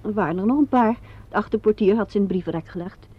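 On a muffled old radio recording, a woman plays a witty theatre designer. She talks fast and chattily, self-deprecating and holding her nerves in check. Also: medium pitch, slightly rough, close to an everyday voice. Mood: nervy, grateful. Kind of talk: vintage Dutch radio play acting. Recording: dull lo-fi vintage radio recording.

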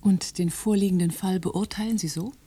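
Female inspector for radio drama, actress: dark, breathy husky voice, measured, quietly defiant, dry. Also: mid-range voice, fairly even intonation, close to an everyday voice. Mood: measured, firm.

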